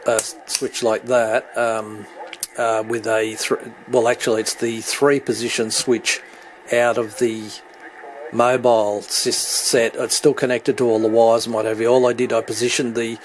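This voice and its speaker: A middle-aged man giving an unscripted hobby demonstration, hesitant and halting as he searches for words. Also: medium pitch. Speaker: CB radio hobbyist demonstrating his equipment. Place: indoors at home beside radio equipment. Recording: clearly noisy home recording with radio static.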